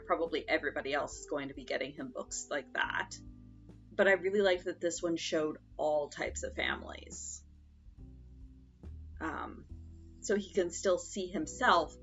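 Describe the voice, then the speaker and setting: A young woman streamer chatting casually and rambling, her voice stuffed up and gravelly from congestion. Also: low-pitched, dull audio. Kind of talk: casual chat while sewing.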